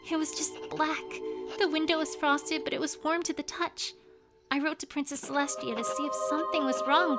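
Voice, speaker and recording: A high-voiced young unicorn scholar pony, as a woman plays her, reading her diary with earnest, fretful worry. Music plays clearly behind her in this fan audiobook. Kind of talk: journal read aloud as a fan audiobook.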